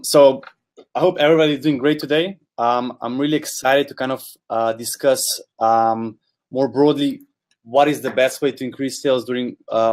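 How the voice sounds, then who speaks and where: Young non-native English speaker, male, earnest webinar presenter, mid-pitched voice. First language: not English. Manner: earnest, repeats words, uses "kind of" often. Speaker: guest speaker, product specialist.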